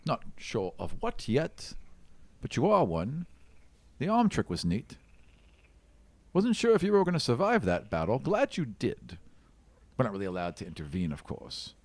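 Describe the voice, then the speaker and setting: A man voicing a calm, self-assured dwarf seeker in a tabletop game, speaking formally with faint condescension. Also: medium pitch, moderate pace, pauses often, no room sound. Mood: explaining calmly.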